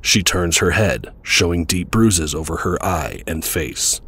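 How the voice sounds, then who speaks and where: A man with a husky voice narrating a scripted audio drama. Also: sits low, animated, unhurried, fairly bright tone.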